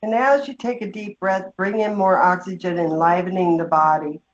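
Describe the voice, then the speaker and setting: A woman leading a guided meditation, giving slow, gentle instructions with long pauses. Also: lowish voice, steady tone. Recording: dull, muffled lo-fi sound.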